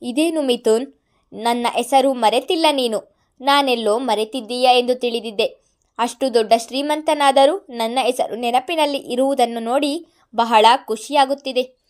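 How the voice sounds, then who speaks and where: A woman voicing a gloating, vengeful middle-aged man who taunts loudly over the phone. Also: sits high, measured pace. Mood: sneering. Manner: taunting, sneering, loud.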